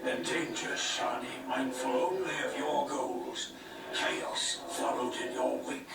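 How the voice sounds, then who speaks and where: An actor playing a cold, ancient wraith king in a video game, speaking in breathy, slow, ominous and grandiose pronouncements. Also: on the high side, over loud music. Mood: accusing. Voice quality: breathy, whispery. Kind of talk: dramatic video game cutscene acting. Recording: echoey, distant, noisy game audio playback.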